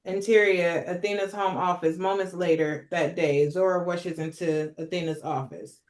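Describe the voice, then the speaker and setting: A woman's voice: on the low side, steady tone, moderate pace, a little mellow. A woman reading stage directions as narrator at a script table read, in a roomy recording. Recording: roomy, echoing sound.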